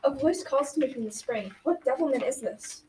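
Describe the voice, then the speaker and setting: A woman's voice: sits high, animated, normal speed, mellow. A young female student playing a wary, easily tempted knight in an amateur school radio drama, reading out the lines. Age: child or teen. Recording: echoey, distant mic, faint noise.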